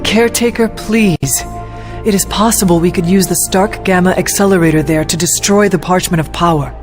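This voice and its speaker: A woman's voice: fairly low pitch, moderate pace, music in the background, lightly acted. A woman playing an earnest, determined young warrior leader who speaks formally and firmly in a video game. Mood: pleading. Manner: formal, avoids contractions. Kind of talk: video game cutscene acting.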